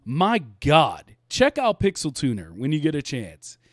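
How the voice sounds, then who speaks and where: Higher-pitched laid-back male stream host. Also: wildly swooping pitch, unhurried, dry recording, studio quality. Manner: laid-back, laughing, repeats words often. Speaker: podcast host. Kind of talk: casual live-stream chat.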